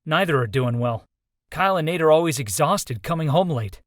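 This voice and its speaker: A man's voice: fairly high pitch, animated, a little fast, dry recording, clean studio sound, natural voice. A man playing an earnest, loyal young college student in a visual novel, in a plain-spoken way. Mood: concerned. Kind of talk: visual novel character dialogue.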